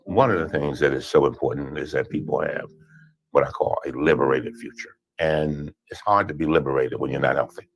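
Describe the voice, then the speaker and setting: A man's voice: rumbling low, fairly even intonation, fairly quick, vocal fry, muffled recording, slight background noise. A man speaking with impassioned, preacher-like conviction in a documentary clip about health disparities.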